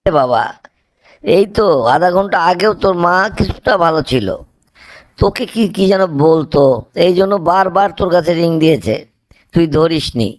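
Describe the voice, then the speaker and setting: High, plaintive grieving older father played by a man. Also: expressive, moderate pace. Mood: grieving, reproachful. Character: grieving older father, reproaching his son. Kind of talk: amateur cartoon dialogue.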